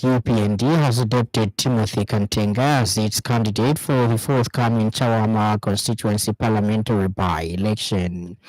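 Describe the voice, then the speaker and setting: A Zambian man presents a scripted radio news bulletin. His voice sits on the low side and his delivery is formal and measured. The sound is dry, with very little room in it. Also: darkish tone, dry recording, rough recording.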